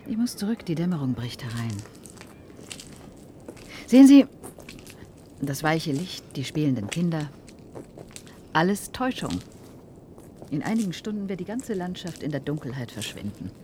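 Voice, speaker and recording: An actress playing a detached, alien-possessed woman in a German radio play, solemn and measured, scornful of human feeling. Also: low voice, big pitch swings, warm tone, slight background noise. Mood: foreboding.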